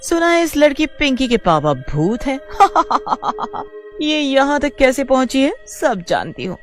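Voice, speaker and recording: A woman voicing an arrogant, scheming young female wrestler, the villain of an animated story. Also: sits high, slow pace, faint music behind, pitched above the performer's own voice, exaggerated voice. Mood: smug, menacing. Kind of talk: Hindi animated story acting.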